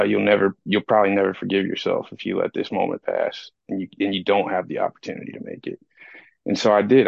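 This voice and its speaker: A man with a cold, speaking in a raspy, hoarse voice, telling his story reflectively on a casual podcast, with many fillers. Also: on the low side, no echo, dull audio. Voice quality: raspy, gravelly. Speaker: podcast co-host. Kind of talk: casual recovery podcast talk.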